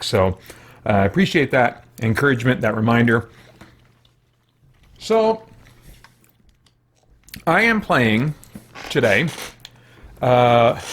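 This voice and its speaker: An American man talks as a gaming streamer in a casual, unscripted way. He rambles and thinks aloud, often stopping to correct his own phrasing. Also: mid-range voice. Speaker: live streamer, gaming host. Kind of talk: casual livestream chat.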